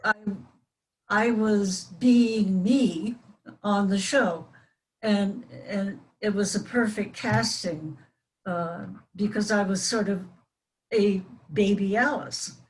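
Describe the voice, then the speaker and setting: An older woman speaks slowly and reflectively, weighing her words with small pauses. She answers as a panel guest. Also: medium pitch, low-quality recording. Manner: measured, reflective. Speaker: panel guest, former child radio performer.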